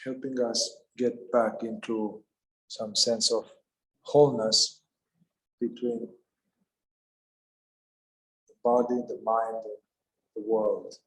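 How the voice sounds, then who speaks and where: Calm man guiding meditation, roomy echo. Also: lowish voice. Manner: calm, unhurried, with long pauses between short phrases. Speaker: meditation guide. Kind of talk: guided meditation, unscripted.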